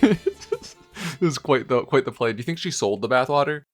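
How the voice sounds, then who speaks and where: A man's voice: medium pitch, sing-song, moderate pace, soft background music. A man co-hosting a podcast, chatting casually and hesitantly, saying "like" constantly. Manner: hesitant, heavy use of "like". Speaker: podcast co-host.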